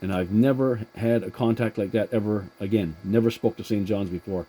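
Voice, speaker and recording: Low, mellow man on CB. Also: slightly rough, rough recording. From Atlantic Canada. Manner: halting, repeats words. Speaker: CB radio operator. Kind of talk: casual radio chat.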